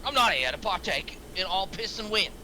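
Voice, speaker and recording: A man's voice: high voice, lively intonation, normal speed, pushed and bright, a little hiss or hum, exaggerated voice, poor audio. A man playing an irritable, crude, blustering crime boss in a script table read. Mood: irritated, blustering. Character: foul-mouthed, irritable male crime boss.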